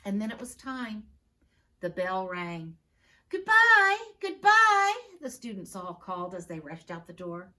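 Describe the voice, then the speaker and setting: A woman teacher reading a picture book aloud with expressive storytelling. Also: fairly low pitch, moderate pace.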